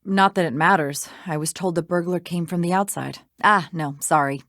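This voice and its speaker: An actress playing a busy, sardonic small-town lawyer in a video game, with a dry, exasperated, matter-of-fact manner. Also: female voice, lowish voice, moderate pace, a bit bright, dry recording. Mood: defensive. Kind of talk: scripted video game dialogue.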